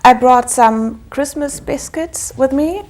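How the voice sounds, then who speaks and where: A woman's voice: high voice, slow pace, darkish tone. German woman explaining in careful English. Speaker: studio guest.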